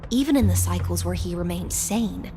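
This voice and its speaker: An actress playing a calm, formal female knight in a game. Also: fairly low pitch, normal speed, slight background noise, natural voice. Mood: composed. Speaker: game character. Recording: game cutscene with music underneath.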